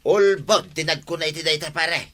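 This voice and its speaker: A man's voice: fairly high pitch, fairly even intonation, brisk, fairly bright tone, natural voice. A man with an Ilocano accent playing a defiant, protesting tricycle driver in a comedy radio drama. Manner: protesting, ends phrases with a familiar address. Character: defiant comic male tricycle driver dodging complaints, pleading and bragging.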